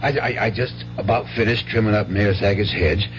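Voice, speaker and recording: A man's voice: lowish voice, brisk, over music, lightly acted. An actor playing a good-natured, rambling rural American father with a stammering, folksy delivery in a 1970s radio drama. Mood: excited, amused. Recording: dull hissy 1970s radio broadcast with hum.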